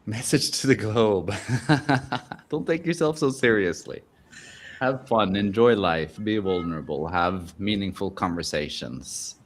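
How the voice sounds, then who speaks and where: A man from Norway, a coach and interview guest, speaking in a calm, measured, upbeat way. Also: on the low side. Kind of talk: casual interview chat.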